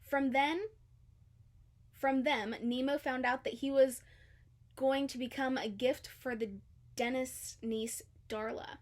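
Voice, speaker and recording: A woman with a fairly high voice reads a children's story aloud. Her delivery is scripted storybook narration. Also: fairly even intonation, slow pace, long pauses. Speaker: storybook reader.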